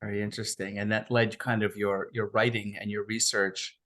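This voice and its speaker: A man's voice: on the low side, level tone, a little fast, fairly bright tone. A man hosting a remote interview, asking long questions with hesitant restarts. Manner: hesitant, frequent fillers and restarts. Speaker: interview host.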